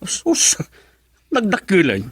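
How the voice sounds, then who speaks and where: A cheerful Ilocano family man for radio drama. His pitch swings widely as he talks in a hearty, expressive way, and the sound is dry and close. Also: slow pace, husky, no room sound, heavily acted. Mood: delighted. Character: cheerful married father, husband of an overseas worker.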